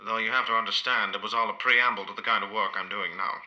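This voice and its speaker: Proud ageing poet, sharp-edged voice. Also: male voice, lowish voice, fairly even intonation, dull audio. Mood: reflective, guarded. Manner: measured, rambling, literary, deliberate. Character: ageing imprisoned modernist poet, proud, reminiscing, wounded dignity. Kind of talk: audio-play acting.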